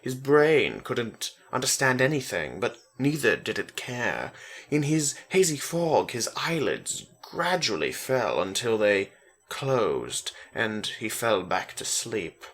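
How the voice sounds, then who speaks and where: A man narrating a story as a slow, deliberate dramatic reading. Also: mid-range voice, big pitch swings, fairly bright tone.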